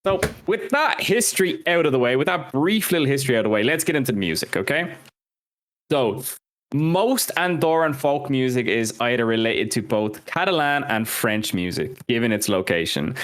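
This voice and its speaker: A man's voice: mid-range voice, lively intonation, moderate pace, a bit bright. A man co-hosting a music history podcast, talking casually through scripted notes and joking about his own mispronunciations. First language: Irish and English speaker. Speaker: podcast co-host.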